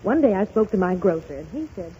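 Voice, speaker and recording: An actress playing a helpful, assured housewife in a 1930s radio advertising drama, speaking reassuringly. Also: female voice, medium pitch, big pitch swings, fast talker, mellow, slightly rough. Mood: helpful, knowing. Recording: muffled 1930s radio recording.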